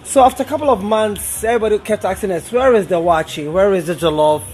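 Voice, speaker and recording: A man's voice: high-pitched, quick pace, darkish tone, slight background noise. A young man from Ghana, an event coordinator, explaining his restaurant to an interviewer in a friendly way, sometimes repeating words. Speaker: event coordinator and guest services manager. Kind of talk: interview guest. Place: upstairs lounge of a restaurant.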